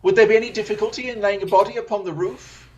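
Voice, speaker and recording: A keen, assured gentleman detective as acted out in an audio drama, speaking in formal, precise phrases. He takes command with theatrical confidence, at a medium pitch. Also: fairly even intonation, quick pace, lo-fi sound, slight background noise, close to an everyday voice. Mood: brisk, genial.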